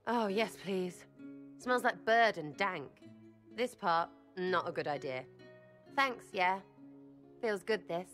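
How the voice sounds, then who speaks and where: A woman's voice: on the low side, lively intonation, slow, long pauses, a bit bright, faint music behind. An actress playing a cheeky, impulsive young woman rogue in a video game, talking in slangy, irreverent, blurted fragments. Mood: blunt, chatty.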